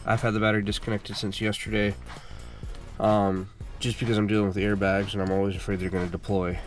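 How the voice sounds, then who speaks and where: A congested man hosting a car repair channel, giving a relaxed, casual how-to walkthrough full of fillers while working on a car. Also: lowish voice, quick pace, soft background music. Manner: relaxed, filler-heavy explaining.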